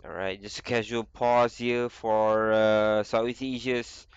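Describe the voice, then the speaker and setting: Young man, flu, casual game caster, smooth, dry, muffled sound. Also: on the low side, flat delivery, no room sound. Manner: casual, easygoing, with filler pauses. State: sick with flu. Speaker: esports caster. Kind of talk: solo game commentary.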